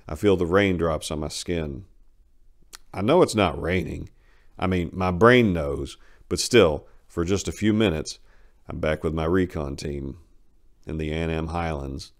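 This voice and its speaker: Haunted middle-aged Marine veteran played by a male reader: deep, creaky, dryly wry, confessional, for dramatic short fiction. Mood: haunted, grave. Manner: plain-spoken, confessional, sardonic. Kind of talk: first-person dramatic short-story reading.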